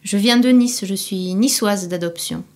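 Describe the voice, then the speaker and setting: A woman's voice: medium pitch, a little mellow. Woman with a southern French accent. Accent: southern French (Midi). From Corsica. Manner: measured, thoughtful, explaining at length. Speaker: interviewee. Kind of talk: unscripted interview answers for a language course.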